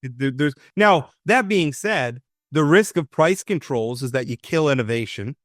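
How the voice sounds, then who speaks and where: A man with a fairly high speaking voice talks politics in a casual, opinionated way, stumbling over restarts as he builds his point. The sound is dry and close, with no room echo. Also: lively intonation, moderate pace. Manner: opinionated, frequent restarts and stammered repeats. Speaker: radio talk show host.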